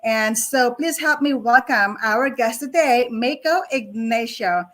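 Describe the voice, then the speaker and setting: Higher-voiced woman hosting an interview. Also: fairly bright tone. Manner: deliberate, welcoming, many fillers. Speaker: online talk show host.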